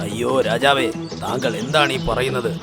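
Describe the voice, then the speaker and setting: A man voicing an arrogant, boastful male cheetah who mocks others in an animated fairy tale. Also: mid-range voice, moderate pace, natural voice. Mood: mocking. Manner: boastful, taunting. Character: arrogant mocking male cheetah, proud of his speed. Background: loud music.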